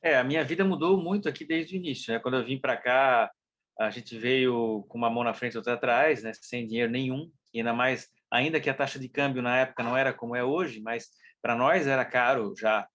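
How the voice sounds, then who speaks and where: A Brazilian man with a southern Brazilian accent, a guest telling stories casually in an interview, lively and full of fillers. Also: medium pitch, brisk. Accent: southern Brazilian Portuguese. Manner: animated storyteller, mimics people, many fillers like né. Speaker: interview guest, business consultant.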